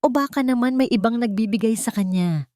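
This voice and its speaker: A female narrator plays a scheming woman in a romance audiobook. She speaks in a mellow, soft-edged voice, feigning concern while slyly planting suspicion. The sound is dry and close. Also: on the high side, a little fast, dry recording, pitched above the performer's own voice, natural voice. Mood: sly, suspicious. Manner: insinuating, falsely concerned.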